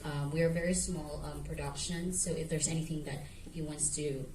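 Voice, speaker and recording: A woman's voice: sits low, fairly even intonation, fairly quick, noisy background. A woman, an actress, answering hesitantly with fillers at a festival Q&A. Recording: echoey room, mic at a distance.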